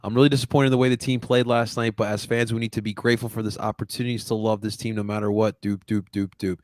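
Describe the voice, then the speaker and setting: A man's voice: on the low side, fast talker, dry recording, studio quality. A man hosting a sports podcast over a video call, chatting casually and hyping up the fans. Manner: excitable, hypes up fans. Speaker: podcast host.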